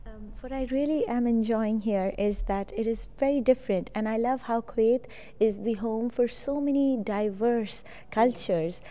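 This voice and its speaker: Effusive, warm-toned woman TV host. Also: on the high side, normal speed, few pauses. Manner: effusive, admiring, with fillers. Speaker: TV show host. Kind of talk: on-location TV interview. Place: indoors at a school art exhibition.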